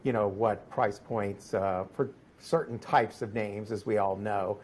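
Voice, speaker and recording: A man hosting a conversational podcast interview, phrasing things in a halting, roundabout way. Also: lowish voice, steady tone, darkish tone, husky.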